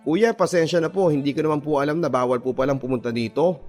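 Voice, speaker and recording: A man's voice: on the high side, quick pace, a little mellow, faint music behind, natural voice. A man playing an anxious young man in a radio drama, speaking urgently and apologetically. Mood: frightened pleading. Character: anxious, pleading young male storyteller in trouble.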